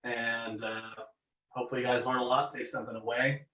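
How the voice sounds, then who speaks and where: Male webinar presenter, mid-pitched, casual and wry, heard through a dull, roomy connection. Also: little pitch movement, brisk. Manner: casual, joking asides. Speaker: guest speaker, air traffic controller and pilot. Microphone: online video call. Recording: echoey, distant, dull webinar microphone.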